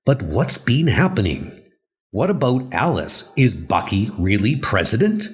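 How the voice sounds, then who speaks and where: A man's voice: fairly low pitch, very animated, a little slow. A man voicing an earnest, puzzled heroic reporter with a questioning manner.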